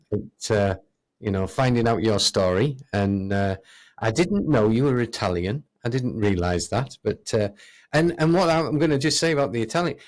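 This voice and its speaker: A man's voice: fairly low pitch, a little mellow. A man hosting a podcast interview, affirming his guest and repeating himself as he wraps up. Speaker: podcast host. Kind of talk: casual interview, signing off.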